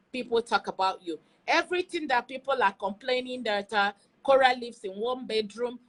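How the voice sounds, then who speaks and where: Woman with a highish voice doing opinionated livestream talk, really emphatic, repeats herself to make a point. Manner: emphatic, repeats phrases for effect. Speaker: online video host and commentator. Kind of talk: opinionated social media commentary, livestream talk.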